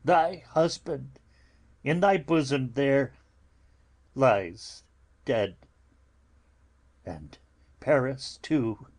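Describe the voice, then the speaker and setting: Anxious, husky, very slow-spoken friar played by a man. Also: mid-range voice, a little mellow. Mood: urgent pleading. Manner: slow, halting, lamenting delivery. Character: anxious, grieving elderly male friar. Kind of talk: theatrical Shakespearean audiobook acting.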